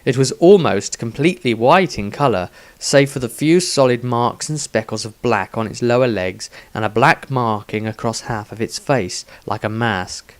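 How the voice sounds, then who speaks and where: A man narrates a fantasy adventure as a storyteller reading from a script. His voice sits at a middling pitch, and his delivery is dramatic, suited to spoken-word fiction. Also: moderate pace, hardly any pauses. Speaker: author reading own story.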